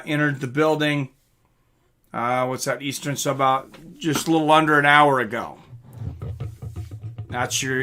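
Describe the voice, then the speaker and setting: Bright-voiced man hosting a casual stream. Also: on the high side. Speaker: live stream host. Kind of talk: casual live reaction chat, reading out viewer comments.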